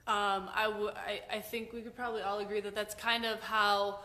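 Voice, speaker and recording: A woman co-host speaking casually and haltingly, with many fillers. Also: mid-range voice, level tone, sharp tone, long reverb tail.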